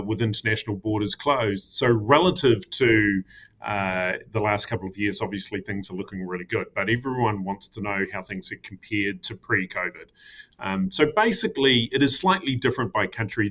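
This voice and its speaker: New man, ceo guest, lowish voice, relaxed, lots of ums. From New Zealand. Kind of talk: casual interview answers.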